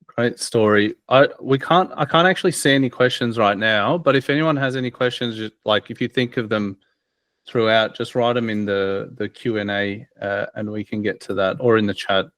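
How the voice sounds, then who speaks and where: A man with a fairly low voice hosts a webinar over a video call. He speaks in a friendly, halting way, full of uhs. The sound is dry. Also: a bit bright, no room sound. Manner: hesitant, many uhs, friendly. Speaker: webinar host.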